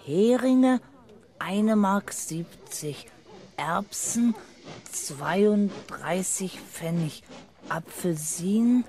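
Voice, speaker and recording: An actor playing a small, cocky, daydreaming schoolboy in a 1970s children's radio play, speaking in a halting way. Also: medium pitch, darkish tone, a little hiss or hum. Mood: laboured reading. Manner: slow, halting, sounding out words as he reads and writes.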